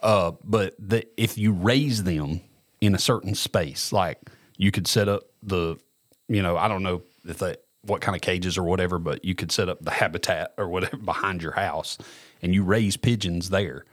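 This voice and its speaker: A man's voice: low-pitched, moderate pace, dry recording, studio quality. A man, co-hosting a podcast, reading a news story aloud and often tripping over names. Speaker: podcast co-host. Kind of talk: casual chat, reading a news article aloud.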